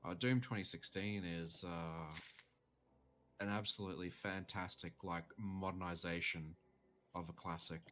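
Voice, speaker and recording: A young Australian man chatting casually while streaming a game, relaxed and laughing easily, with frequent ums. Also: deep voice, measured pace, a little hiss or hum. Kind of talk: casual chat while gaming.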